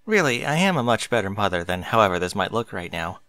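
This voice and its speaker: A man's voice: lowish voice, big pitch swings, sharp tone, no room sound, voice pushed down. Man putting on a flustered pegasus pony mother. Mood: embarrassed. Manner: flustered, halting.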